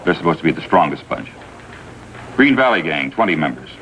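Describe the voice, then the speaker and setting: Male, deep American voice; brisk, factual juvenile officer, quick clipped delivery, vintage TV police drama. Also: sing-song, fairly bright tone, full character voice. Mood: matter-of-fact briefing. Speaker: supporting actor. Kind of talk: 1950s TV police drama acting. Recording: faintly noisy vintage TV soundtrack.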